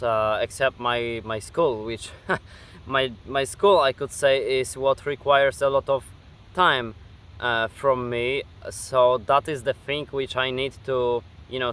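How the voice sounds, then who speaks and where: A young man of eighteen with a Polish accent answers viewer questions outdoors, speaking into a camera facing him. His voice is bright and clear, and he talks hesitantly, filling gaps with uh and um and restarting his sentences. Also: medium pitch. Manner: hesitant, many fillers and restarts. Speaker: gaming YouTuber. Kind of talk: casual Q&A vlog. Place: outdoors at dusk.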